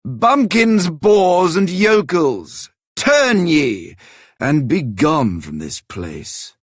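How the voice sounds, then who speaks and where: Very slow, pompous town guard. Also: male voice, on the high side, big pitch swings, husky, no room sound, studio quality, full character voice. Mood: haughty, scornful. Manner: pompous, declamatory. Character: haughty male town guard dismissing outsiders. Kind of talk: video game character voice acting.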